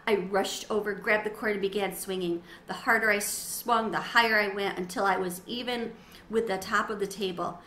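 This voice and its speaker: A middle-aged woman giving an expressive, dramatized read-aloud of a children's story. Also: mid-range voice, moderate pace. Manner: animated, dramatizing. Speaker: storybook reader. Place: small sunroom with windows, indoors. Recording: roomy echo, faint background noise.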